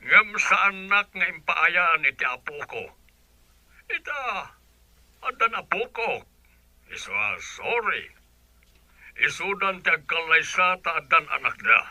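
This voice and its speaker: A man's voice: a little high, sing-song, slow pace, long pauses, sharp tone, no echo, heavily acted. An actor playing a hearty older father and grandfather in an Ilocano radio drama, with an Ilocano accent. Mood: firm, apologetic.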